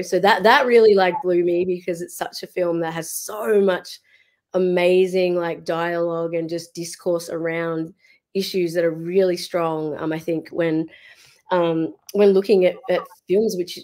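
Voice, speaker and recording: Slightly squeaky, hesitant woman host. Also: fairly even intonation, moderate pace, darkish tone. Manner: hesitant, many fillers and restarts. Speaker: podcast host. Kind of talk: casual chat. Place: room at home. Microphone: video call webcam.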